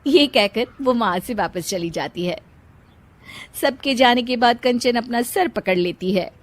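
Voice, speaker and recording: Woman storyteller, slightly high voice. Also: a little slow, a little hiss or hum. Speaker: narrator. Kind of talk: scripted moral-story narration.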